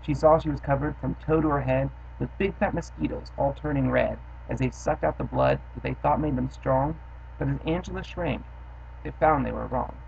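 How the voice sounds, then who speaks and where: A man's voice: medium pitch, vocal fry. A young man who hosts a storytime channel, chatting casually and then reading a rhyming children's poem aloud in his bedroom. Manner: casual, offhand chat with fillers, then steady rhythmic reading. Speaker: host reading children's poems. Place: bedroom, indoors. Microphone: built-in webcam mic. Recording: dull lo-fi webcam sound with faint hiss.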